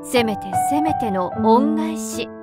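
A woman playing a refined, devoted crane-spirit seamstress in a game, polite and theatrical, given to excitable fangirl gushing. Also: high-pitched, slow pace. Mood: gentle, pleased. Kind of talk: Japanese game voice acting. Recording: background music under clean studio voice.

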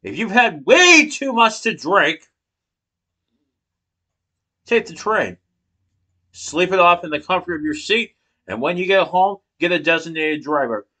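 Bright-voiced man giving a persuasive speech. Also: high voice, sing-song, measured pace, long pauses. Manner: rhetorical questions, emphatic hand gestures. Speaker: student giving a class speech. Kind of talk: persuasive speech, partly read from notes. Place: small room indoors. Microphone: laptop webcam from below.

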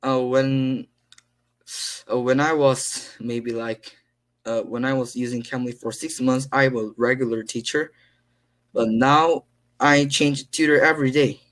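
A teenage boy with a Korean accent, speaking careful, halting English full of fillers. He is on a video call from a small room at home, picked up by his laptop mic. His voice sits at a middle pitch for a young man. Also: steady tone, a bit bright. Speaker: English learner. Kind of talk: casual conversation practice.

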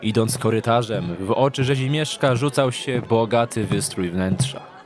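A man's voice: mid-range voice, normal speed. A man narrating a scripted story in a dramatic audiobook style. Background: background music.

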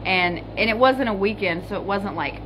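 A woman's voice: mid-range voice, expressive, normal speed. A woman in her thirties, vlogging in casual chat from a small hotel room into a phone held at arm's length, telling stories and swearing casually. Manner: chatty storyteller, swears casually. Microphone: phone camera held at arm's length. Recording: noisy hotel room ambience.

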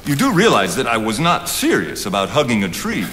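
An older actor playing a genial friend and writer, teasing and practical, who talks in a jovial, chuckling way. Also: male voice, medium pitch, wildly swooping pitch, brisk, fairly bright tone, a little hiss or hum. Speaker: stage actor. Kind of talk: stage play acting. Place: theatre stage. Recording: hall reverb of a theatre stage.

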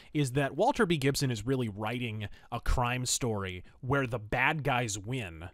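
A man's voice: medium pitch, no room sound, studio quality. A man hosting a podcast, reading pulp fiction aloud and reviewing it. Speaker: podcast host.

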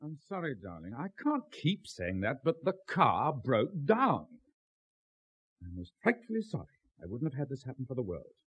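An actor playing an educated, exasperated British husband on the defensive in a radio drama. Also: male voice, medium pitch, huge pitch swings, normal speed, a bit bright, breathy edge, full character voice, low-quality recording. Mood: apologetic, defensive.

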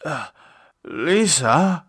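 A man's voice: a little high, lively intonation, fairly bright tone, breathy edge, no room sound, clean studio sound, pitched above the performer's own voice, heavily acted. Slurring, distraught drunk man, acted by a male narrator. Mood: drunk, confused. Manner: halting, rambling, repetitive. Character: drunken, confused, distraught man, guilt-ridden and tearful.

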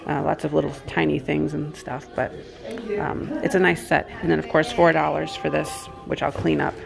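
A woman's voice: sits low, flat delivery, normal speed, gravelly, over music. A woman vlogger giving casual commentary as she shops, half murmuring to herself while browsing. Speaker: vlogger, antiques reseller. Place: house and garage at estate sales. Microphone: handheld phone or camera.

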